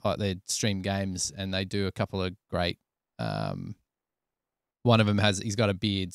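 An Australian man chats casually to his livestream. His voice is lowish and clear in a dry studio sound, and he rambles along with slang and jokes. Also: no room sound. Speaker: live streamer, sound editor. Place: small indoor studio. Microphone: close desk microphone.

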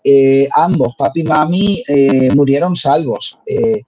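An older man from Puerto Rico, a guest singer telling his story in an interview, earnest and unhurried. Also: medium pitch, dark tone. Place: home room. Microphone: headset on video call. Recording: dull lo-fi video call audio.

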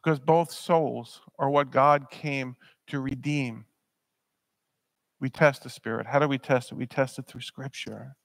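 A man's voice: medium pitch, steady tone, a little slow, creaky, dry recording. A man in his thirties preaching a sermon in a church, earnest and emphatic, often repeating words as he presses a point. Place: church sanctuary, speaking at a pulpit.

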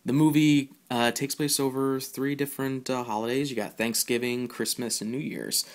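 A man hosting a podcast, giving a casual, informal solo film review in a halting way. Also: medium pitch, fairly even intonation.

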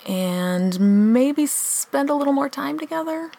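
A woman's voice: high voice, animated, slow, gravelly, dark tone, no room sound, studio quality, heavily acted. Chatty, preachy holiday helper, played by a woman. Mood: earnest, pushy. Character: chatty, preachy Christmas helper named Amy. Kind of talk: radio play acting.

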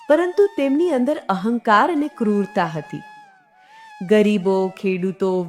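A woman narrating a scripted moral tale as storyteller and host, in a measured, earnest manner. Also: medium pitch, darkish tone. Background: background music.

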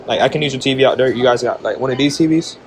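A young man in casual prank-vlog chat with restaurant staff, pleading and animated, often saying "bro", recorded on a handheld camera in a fast food restaurant. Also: mid-range voice, noisy background. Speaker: prank vlogger.

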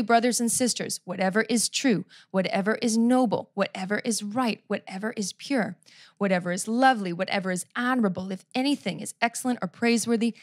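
A woman in her thirties with a Canadian accent, preaching on a church stage into a handheld mic, conversational and self-deprecating, slowing down to read scripture. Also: mid-range voice, no echo, clean studio sound. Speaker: pastor. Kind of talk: sermon. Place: church stage before a seated audience. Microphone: handheld microphone.

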